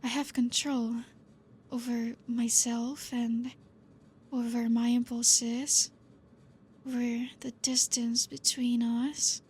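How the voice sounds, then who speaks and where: A woman voicing a calm, possessive female vampire teacher in a soft, intimate, half-whispered ASMR roleplay, gently teasing. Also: fairly high pitch, slow, slight background noise. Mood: tender possessive.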